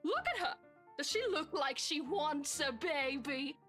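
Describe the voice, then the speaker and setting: An actress playing a drunk, venomous scorned mistress in a visual novel, bitter, accusing and overwrought. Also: female voice, high voice, a little slow, fairly bright tone. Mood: bitter, furious. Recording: music behind.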